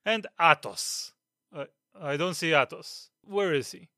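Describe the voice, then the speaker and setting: An actor playing a stern older captain of musketeers, scolding his men with ironic anger and sudden shouts. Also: fairly high pitch, lively intonation, a little slow, dry recording, clean studio sound, exaggerated voice. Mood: sarcastic fury. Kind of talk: dramatized audiobook acting.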